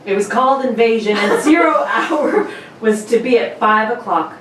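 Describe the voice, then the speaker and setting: A woman narrator reads a radio story at a medium pitch. Her voice sits a little distant in a roomy, echoing space, with faint noise around it. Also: expressive, normal speed.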